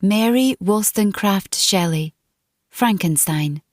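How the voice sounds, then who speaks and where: A woman reading dramatic fiction as an audiobook narrator, in a measured, deliberate storytelling manner. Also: medium pitch, expressive, no room sound, studio quality.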